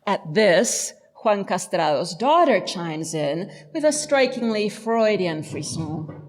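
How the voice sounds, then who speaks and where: A woman professor giving a literary lecture in an articulate, measured, academic manner. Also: on the low side, animated. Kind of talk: illuminated lecture, literary analysis.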